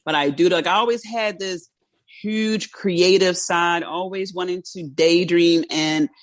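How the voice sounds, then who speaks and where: A woman's voice: lowish voice, measured pace, dry recording. South Philly woman host, dry sound. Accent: South Philadelphia. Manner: giggly, chatty, laughs often. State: speech slightly thick from dental brackets. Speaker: podcast host. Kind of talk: casual interview chat.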